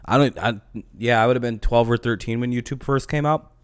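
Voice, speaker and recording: A man's voice: medium pitch, brisk, clean tone, no room sound, studio quality. A man in his twenties chatting casually on a livestream, rambling and profane, often stopping to restart his sentences. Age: 20s. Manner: rambling, profane, self-interrupting. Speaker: livestream host.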